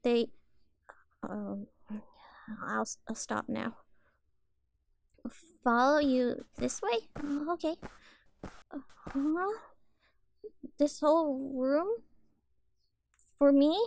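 A woman's voice: high voice, extremely slow, gravelly, long pauses, a little mellow, no room sound, exaggerated voice. A woman voicing a timid, sweet, childlike catgirl in a roleplay audio, hesitant and stammering, meek and eager to please. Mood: nervous, apologetic, then shy, sympathetic.

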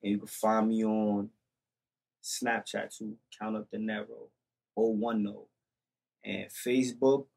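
A man's voice: lowish voice, little pitch movement, unhurried, gravelly, long pauses, a little mellow, breathy edge, low-quality recording. A young man chatting casually as a podcast guest, laid-back and repeating short phrases, on a studio microphone. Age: young adult. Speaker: podcast guest, music artist. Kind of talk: casual chat. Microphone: boom-arm studio microphone with headset.

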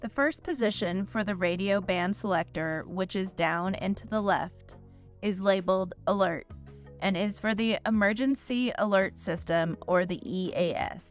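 A woman's voice: mid-range voice, moderate pace, faint music behind. Woman narrating step-by-step instructions. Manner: clear, steady, step-by-step explaining.

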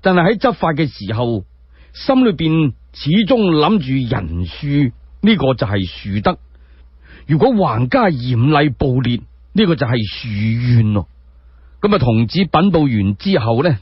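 A man with a Cantonese accent telling a story solo, in an expressive, dramatic scripted narration. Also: a little high, husky, no echo. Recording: dry, dull lo-fi audio.

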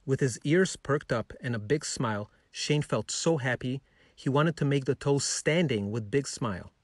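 A man's voice: medium pitch, moderate pace, no room sound, clean studio sound. A man narrating a scripted children's bedtime story.

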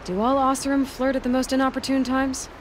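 A woman's voice: high-pitched, level tone, a little fast, slight background noise, close to an everyday voice. An actress playing a determined young female warrior in a video game, direct, understated and wry. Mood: wry, warm. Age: young adult. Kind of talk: cinematic video game acting. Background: music.

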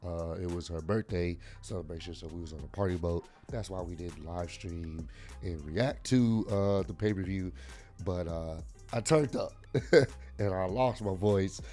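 A man in his thirties with a hoarse, worn-out voice, casually reviewing a wrestling event from a desk microphone at home. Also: low voice, measured pace, warm tone, soft background music. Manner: casual, rambling with frequent fillers. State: hoarse, lost voice. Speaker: wrestling vlogger. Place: home room with lit shoe shelves. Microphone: desk mic on arm.

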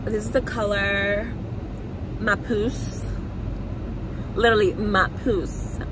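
A woman's voice: mid-range voice, fairly even intonation, slow. A young woman chatting casually while she drives. She rambles and swears bluntly, and "like" comes up in almost every line. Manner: rambling, heavy "like" fillers, blunt and profane. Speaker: vlogger. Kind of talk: casual vlog chat while driving. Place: in a car. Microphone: phone camera. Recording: loud car and road noise.

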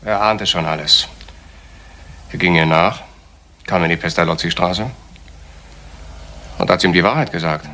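An actor playing a subdued man who confesses in a grave, halting way in a retro German radio crime play. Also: deep voice.

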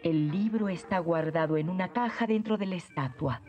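A woman with a Latin American accent voicing a mystical guardian sorceress in a cartoon, grave and measured. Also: on the low side, close to an everyday voice. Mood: solemn. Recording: dull dubbed soundtrack with music.

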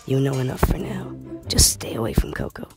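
A woman voicing a secretive male protector who speaks low and drops into hushed whispers. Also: sing-song, a little fast, full character voice. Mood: warning. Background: loud background music.

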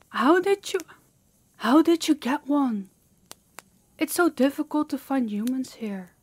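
A woman's voice: high-pitched, no room sound. A woman voicing a harsh, dominant female demon in an intimate audio roleplay, with a haughty, commanding, taunting manner. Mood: surprised delight. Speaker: voice actor.

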